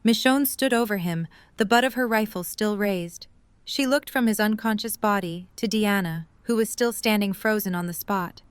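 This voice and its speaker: Clear, smooth woman narrator. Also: mid-range voice, normal speed, no echo. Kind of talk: scripted story narration.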